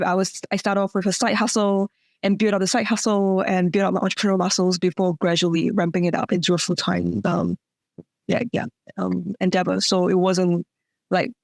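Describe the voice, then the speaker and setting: A woman's voice: mid-range voice, no room sound. Singaporean woman chatting as podcast guest. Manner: chatty, uses "like" and "um" fillers. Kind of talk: conversational interview answers.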